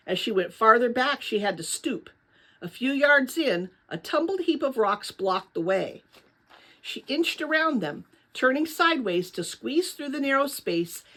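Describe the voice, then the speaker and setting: A woman reading a children's novel aloud as narrator, with dramatic, expressive storytelling. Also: fairly high pitch.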